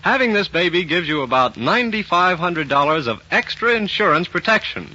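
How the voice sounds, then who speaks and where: Very bright, forceful male radio announcer. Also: high voice, very animated, fairly quick. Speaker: radio announcer and sponsor spokesman. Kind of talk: 1940s radio announcing and scripted commercial. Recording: dull 1940s radio recording.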